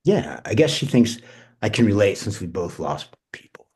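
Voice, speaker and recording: Male actor as amped, impulsive American guy, very raspy and lively, casual and sweary, film drama read. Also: fairly low pitch, moderate pace, heavily acted. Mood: gossipy, guarded. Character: Benji, amped, impulsive, foul-mouthed American male cousin. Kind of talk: screenplay table read.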